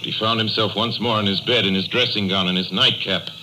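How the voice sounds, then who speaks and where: A man narrating a ghost tale in a solemn, measured, dramatic old radio style. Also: on the low side, steady tone, noisy background, natural voice. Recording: old radio broadcast with music.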